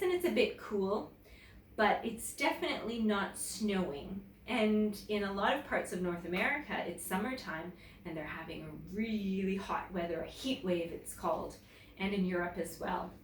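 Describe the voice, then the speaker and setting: A woman reads a children's story like a teacher addressing young listeners. Her delivery is slow and deliberate, with lively swings in pitch. The recording is roomy, a little distant from the mic, with faint noise. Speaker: teacher reading a story aloud. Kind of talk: animated children's picture-book read-aloud.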